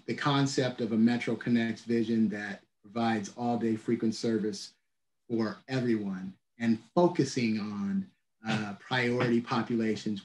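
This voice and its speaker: Middle-aged man, transit leader, video call, measured and halting, echoey office room. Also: medium pitch, a little mellow. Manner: measured, restarts phrases, many "uh" fillers. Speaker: transit agency leader, keynote guest. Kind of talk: answering questions on a video call. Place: office at home or work.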